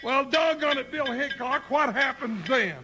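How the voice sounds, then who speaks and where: An actor playing a folksy, excitable, comic deputy sidekick with a rural Western American twang in a 1950s radio western, blustering and stammering when flustered. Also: male voice, very high voice, a little slow, loud music behind the voice. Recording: old hissy 1950s radio recording.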